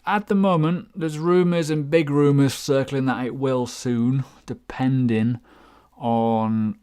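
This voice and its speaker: A man's voice: mid-range voice, steady tone, slow, a little mellow, clean tone. A man hosting a casual live question-and-answer stream, chatty and self-deprecating, rambling through asides. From United Kingdom. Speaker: crypto livestream host.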